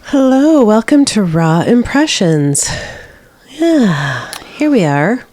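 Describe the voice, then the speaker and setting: A woman's voice: on the high side, very animated, slow pace, mellow. A Midwestern woman from Minnesota, co-hosting a casual podcast, chatty and laughing, with a congested nose. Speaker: podcast co-host. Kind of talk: casual chat.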